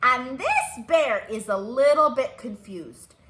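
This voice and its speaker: A woman with a high, bright voice reads aloud to young children at an unhurried pace. She is sing-song and animated, putting simple questions to her listeners. The room gives her voice an audible echo. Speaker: children's librarian hosting musical storytime. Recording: roomy echo.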